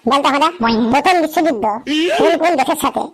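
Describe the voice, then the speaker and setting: A woman's voice: sits high, quick pace. A woman voicing an exasperated cartoon schoolteacher who scolds her pupils. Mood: scolding.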